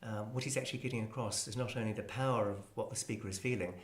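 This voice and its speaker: Male presenter, low voice, scholarly and measured, explaining classical music in an echoing room. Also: steady tone. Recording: roomy, echoing space.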